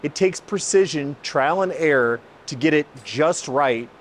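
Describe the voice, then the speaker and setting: A man's voice: a little high, animated, a little slow, a little hiss or hum. A man hosting an automotive video, presenting and explaining in an emphatic, enthusiastic way. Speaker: host, exhaust shop builder. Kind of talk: presenting car exhaust comparison, part scripted, part explanatory.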